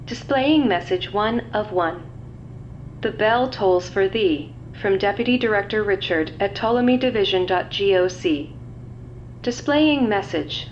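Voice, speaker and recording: A woman voicing a female computer archive that flatly announces messages. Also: mid-range voice, measured pace, lo-fi sound, a little hiss or hum, natural voice.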